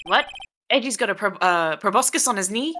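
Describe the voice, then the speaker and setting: A woman's voice: medium pitch, lively intonation, brisk, sharp tone, slight background noise. A woman voicing a dim, boastful young man with slangy, scatterbrained delivery in a live game fan dub. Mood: puzzled.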